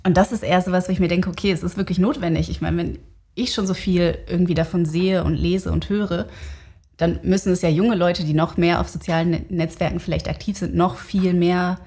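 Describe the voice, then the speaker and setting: A woman's voice: lowish voice, fairly even intonation, a little fast, a little mellow. A woman giving conversational interview answers, thinking aloud in an informal, explanatory way. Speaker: interview guest, researcher.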